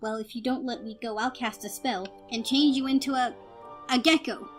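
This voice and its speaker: A woman's voice: fairly high pitch, steady tone, normal speed, faint music behind, lightly acted. A woman voicing a feisty, indignant young fairy in a dramatic storybook reading, emphatic and defiant. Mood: alarmed, defiant.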